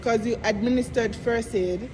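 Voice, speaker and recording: A woman's voice: a little high, moderate pace, background noise. A woman vlogger in a casual bantering argument, insistent and questioning, pressing the same points again.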